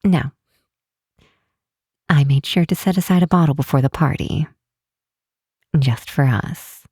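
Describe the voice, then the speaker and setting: A woman acts out a caring best friend in an intimate ASMR roleplay. She talks very slowly and gently, pausing often, with a teasing fondness. The sound is close and dry. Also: low-pitched, slightly rough, dry recording, heavily acted. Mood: playful, fond. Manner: hesitant, gentle, unhurried with pauses.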